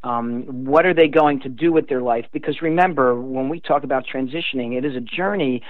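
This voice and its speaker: A man's voice: mid-range voice, brisk, dry recording. An older man speaking as an interview guest, explaining things in an earnest, emphatic way. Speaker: guest author. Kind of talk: explanatory radio interview talk. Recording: tinny narrow phone line.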